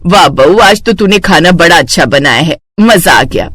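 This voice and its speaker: A woman's voice: on the low side, lively intonation, normal speed, a bit bright. A woman voicing a middle-aged, food-loving mother-in-law in a cartoon, bossy yet approving. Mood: pleased, instructing.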